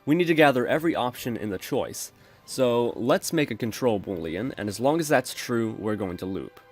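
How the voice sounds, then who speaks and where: Male tutorial host, mid-pitched voice-over, even explanatory delivery, faint music bed. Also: moderate pace. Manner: steady explanatory, addresses viewers as folks. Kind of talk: screen-recorded coding tutorial.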